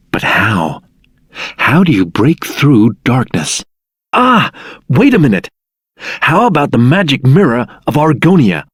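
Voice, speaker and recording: Casting: earnest, cautioning adventurer for a game, husky, animated intonation. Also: male voice, mid-range voice, no echo, studio quality. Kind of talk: video game character acting.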